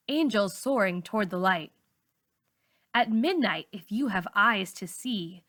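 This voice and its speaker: Bright-voiced woman reading a story aloud. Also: medium pitch. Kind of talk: expressive storybook narration.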